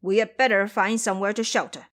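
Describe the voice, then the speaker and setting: A woman's voice: medium pitch, fairly even intonation, fast talker, fairly bright tone, close to an everyday voice. A woman voicing a blustering, cautious male pig-spirit disciple, blunt and grumbling, in an audio drama. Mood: wary, grumbling.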